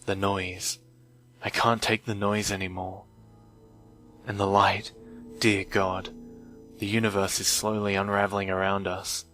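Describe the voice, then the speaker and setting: A man reading a scripted creepypasta in a measured, deliberate way, recorded on an old microphone. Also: fairly low pitch, little pitch movement, bright, forceful tone. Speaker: narrator of horror stories. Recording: strange noise from old mic, music. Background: music.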